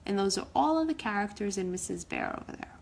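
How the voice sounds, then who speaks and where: A woman's voice: mid-range voice, animated, fast, gravelly, slight background noise. A woman storyteller reading a picture-book story aloud for children.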